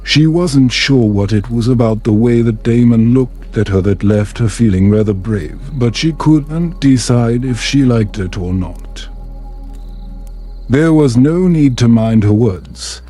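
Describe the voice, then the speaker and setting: A man narrates a bedtime story in a slow, measured way. His voice is mellow and soothing at a middle pitch. Soft music plays behind him. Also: animated. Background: background music.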